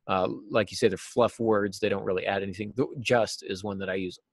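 Middle-aged American male guest, lower register, explains things informally and haltingly, little room sound. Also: fairly even intonation, no echo, clean studio sound. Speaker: interview guest, novelist and writing teacher. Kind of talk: casual interview answers. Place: small cluttered office, on a video call. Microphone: video call.

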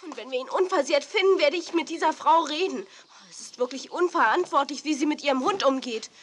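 A woman playing an excitable, tender-hearted girl who is anxious about dogs in a children's audio drama. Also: sits high, fairly quick, natural voice.

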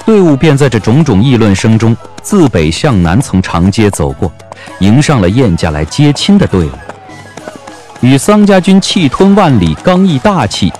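A man narrating a novel as an audiobook, with measured, literary and dramatic phrasing. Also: mid-range voice, a little mellow. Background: background music.

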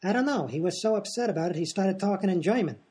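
An actor plays a detective's male assistant in a comedy radio play. He is blunt, casual and slangy, with a dark, slightly rough-edged tone. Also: medium pitch, lively intonation, fast talker. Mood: nagging, casual.

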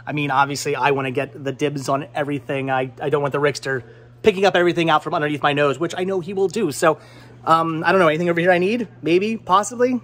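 An American man in his thirties chatting excitably as a vlog host, often repeating his words, recorded on a handheld camera indoors in a shop. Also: on the high side, fast. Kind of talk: casual handheld shopping vlog chat. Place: indoor flea market movie shop. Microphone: handheld camera at arm's length.

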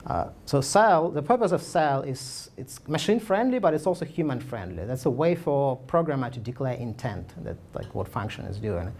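Gravelly-voiced man giving a casual tech talk. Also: mid-range voice, big pitch swings, normal speed, few pauses, a little mellow. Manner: casual, filler-heavy, frequent "like" and restarts. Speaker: conference speaker. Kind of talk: technical talk with slides. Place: meetup room, indoors.

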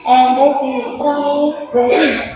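High-voiced woman teacher, echoey classroom. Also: fairly even intonation, unhurried, far from the mic, a crowd in the background. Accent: Vietnamese. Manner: patient, prompting, repeats questions. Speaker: English teacher examining students. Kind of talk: speaking test questions. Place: classroom, indoors. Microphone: headset mic. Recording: very echoey classroom, faint noise.

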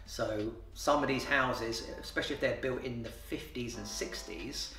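A man's voice: mid-range voice, normal speed, vocal fry, sharp tone, soft background music. A man, answering interview questions plainly, with fillers and restarts. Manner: plain-spoken, matter-of-fact, with repeated fillers and restarts. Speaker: renovation company boss. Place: office, indoors. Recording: roomy office sound from far off.

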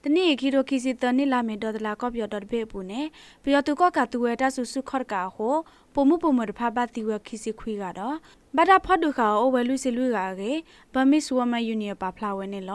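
A woman newsreader with a fairly high voice reads a scripted radio report. The recording is dry and close, with no room around the voice. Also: no room sound. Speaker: news reader. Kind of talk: scripted radio news reading.

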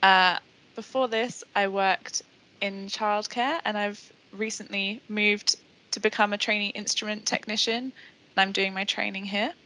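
A woman's voice: medium pitch, fairly even intonation, unhurried, bright, forceful tone, a little hiss or hum, low-quality recording. A young woman, a trainee technician, speaking in a shy, hesitant way on a video call. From Norfolk, England. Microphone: headset on video call.

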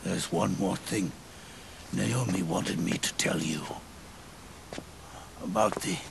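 An actor playing a weary, regretful old soldier near death in a video game cutscene, with a gravelly, breathy, halting and pained delivery. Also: male voice, deep voice, noisy background, full character voice. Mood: labored, explaining. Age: older. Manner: halting, solemn, labored delivery. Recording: orchestral music behind the voice.

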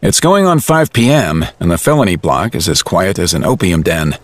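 A man narrates a dramatised story in a lowish, very expressive voice. His delivery is wry and colloquial, with music underneath. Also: normal speed. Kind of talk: dramatised audiobook narration in present tense.

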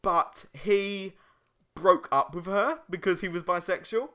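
A man's voice: high voice, unhurried, pushed and bright. A young man co-hosting a casual gaming video, bantering in a jokey way with crude humour. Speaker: let's play co-host.

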